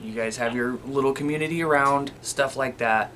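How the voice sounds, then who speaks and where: A man's voice: medium pitch, normal speed, a bit bright, slight background noise. A man talking casually and informally as a video host, showing and explaining equipment to his viewers. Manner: informal, conversational, repeatedly addressing viewers as you guys. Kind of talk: casual instructional talk, demonstrating radio gear.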